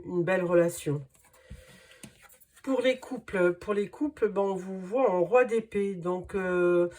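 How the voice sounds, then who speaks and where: A woman's voice: mid-range voice, darkish tone. A woman with a cold and a nasal voice giving a tarot reading to her viewers, hesitant and full of fillers. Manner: hesitant, many fillers and restarts. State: has a cold. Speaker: tarot card reader.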